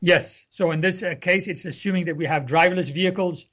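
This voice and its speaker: A man's voice: high-pitched, fairly even intonation, brisk, a bit bright. A man from Egypt, a guest on an interview podcast, explains carefully and restarts his phrases. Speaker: guest researcher. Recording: dull lo-fi sound.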